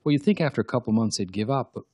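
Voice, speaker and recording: A man's voice: mid-range voice, animated, rapid-fire, warm tone, breathy edge, dry recording, studio quality. A Quebec-born novelist with French as his first language, talking thoughtfully in a radio interview with frequent restarts. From Quebec, Canada. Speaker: novelist guest.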